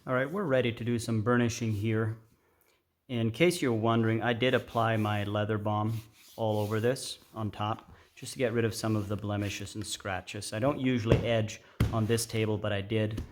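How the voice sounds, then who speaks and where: Low-voiced man explaining over workshop noise. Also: steady tone. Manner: unhurried, explanatory, informal. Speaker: craft tutorial host. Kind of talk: casual hands-on tutorial narration. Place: indoor workshop at a workbench. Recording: clear background noise with clicks.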